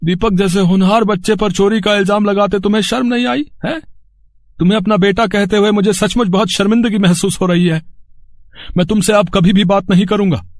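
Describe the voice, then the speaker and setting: A man's voice: high voice, fairly even intonation, brisk, no echo. A man playing a distressed, earnest father in a cartoon moral story, speaking emotionally. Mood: hurt, reproachful. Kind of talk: animated moral story dialogue.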